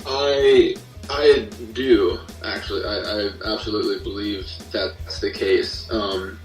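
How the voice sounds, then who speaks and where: A man being interviewed as a podcast guest, chatting casually in a hesitant, halting way. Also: lowish voice, fairly even intonation, faint music behind. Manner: hesitant, halting delivery with frequent hedging. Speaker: podcast guest, musician and creator of an audio drama. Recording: roomy echo, distant from the mic.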